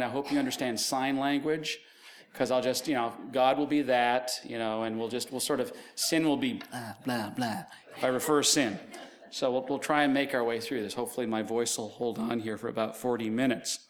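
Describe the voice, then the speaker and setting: A man's voice: medium pitch, fairly even intonation, fairly quick. A middle-aged man preaching a sermon, losing his voice, telling stories with casual asides. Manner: conversational storytelling with asides. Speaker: pastor.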